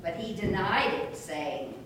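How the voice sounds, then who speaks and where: Slow woman narrator in a very echoey church. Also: fairly low pitch, animated, fairly bright tone. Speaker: narrator of a Passion reading. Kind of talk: scripted scripture narration. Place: church during a service. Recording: very echoey church, distant mic, noisy.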